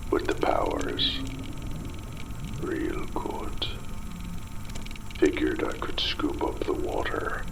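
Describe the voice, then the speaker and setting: Very deep, haunted, desperate father. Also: heavily acted. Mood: remorseful, hollow. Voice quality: gravelly, breathy, often dropping to a whisper. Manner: slow, brooding, halting delivery in short phrases. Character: desperate, guilt-ridden father turning mad in a ruined city. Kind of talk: dramatic first-person horror monologue, audio drama.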